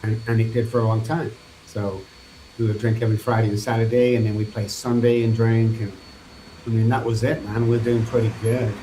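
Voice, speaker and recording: A man's voice: fairly low pitch, level tone, brisk, mellow, rough recording. A middle-aged man from England with a Manchester accent, a podcast guest telling his own story in an earnest, loose, rambling way. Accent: Mancunian English. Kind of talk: candid personal recovery story. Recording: faint background noise.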